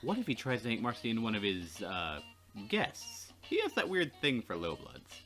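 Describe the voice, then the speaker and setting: A man's voice: lowish voice, wildly swooping pitch, normal speed, a bit bright. A man casually narrating a game and bantering with a co-host. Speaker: let's player. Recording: game music behind the voice. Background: game music.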